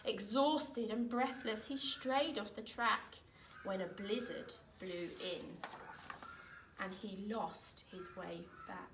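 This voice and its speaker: A woman reading a rhyming children's picture book aloud in an expressive, dramatic way, with deliberate phrasing. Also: mid-range voice, noisy background. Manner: dramatic, deliberate phrasing with emphatic swells and hushes. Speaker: storyteller reading aloud, teacher. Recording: roomy echo, distant microphone.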